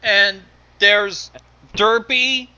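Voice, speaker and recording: A man's voice: sits high, fairly even intonation, drawn-out words, pushed and bright, smooth. A man chatting casually and reacting as a co-reader during a group story reading. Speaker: co-reader making comments.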